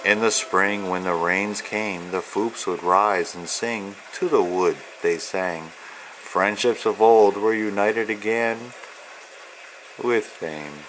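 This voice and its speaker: A man, an author, presenting and reading a children's storybook in deliberate, measured phrases. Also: lowish voice, noisy background. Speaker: author and illustrator reading own children's book. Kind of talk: read-aloud storytelling of rhyming children's book.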